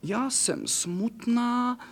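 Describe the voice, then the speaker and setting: A man voicing a poor, sweet nightshirt with a soft, plaintive way of talking. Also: very high voice, vocal fry, pitched above the performer's own voice, heavily acted. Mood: sad, plaintive.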